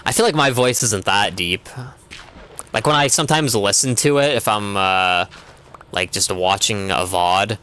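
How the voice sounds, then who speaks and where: A man's voice: fairly low pitch, measured pace, bright, forceful tone, clear, resonant tone. A young man streaming a game, chatting casually in a rambling way with constant filler words. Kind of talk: casual chat while gaming.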